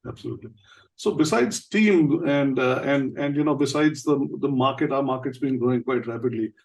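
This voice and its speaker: A man's voice: medium pitch, moderate pace, creaky, far from the mic, poor audio. A man hosting a business podcast, asking loose, meandering questions in a conversational way. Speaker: podcast host. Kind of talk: interview questions, casual conversation.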